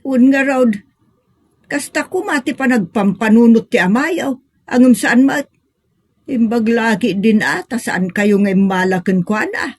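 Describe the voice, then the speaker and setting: A woman's voice: a little high, unhurried, darkish tone, no echo, clean studio sound. A woman acting an exasperated, complaining Ilocano family member in a comedic audio drama, with an Ilocano accent. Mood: resigned, complaining.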